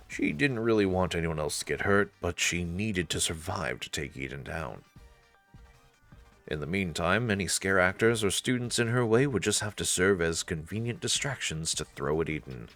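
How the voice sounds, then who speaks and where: A man's voice: low-pitched, fairly bright tone. A man narrating a visual novel as a dramatic story, reading aloud for a let's play.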